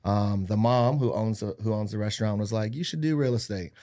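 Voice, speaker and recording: A man's voice: lowish voice, a little fast, mellow. A man in his thirties from Hawaii, a podcast guest telling his life story in an upbeat, joking, self-deprecating way. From Hawaii-born, raised in California and Arizona. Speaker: podcast guest, real estate agent. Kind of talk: casual chat.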